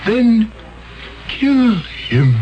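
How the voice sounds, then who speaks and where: An actor playing a solemn, coldly insistent elder in a 1970s radio drama, speaking in measured, commanding phrases. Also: male voice, very high voice, very animated, muffled recording, exaggerated voice. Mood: relentless, intense. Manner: measured, commanding, deliberate pauses.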